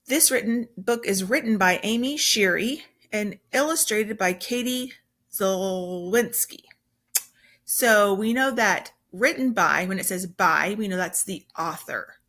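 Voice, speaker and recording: A woman's voice: medium pitch, a little slow, a bit bright. A woman teacher reading a children's story aloud, explaining simply and with emphasis as she goes.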